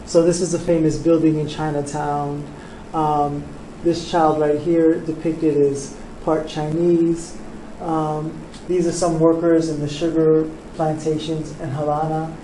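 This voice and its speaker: Soft-spoken New artist in a roomy studio. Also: male voice, on the high side, level tone. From Cuba, raised in New York. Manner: soft-spoken, unhurried, with frequent ums. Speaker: visual artist, interviewee. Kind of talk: relaxed interview answers. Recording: roomy studio, faint background noise.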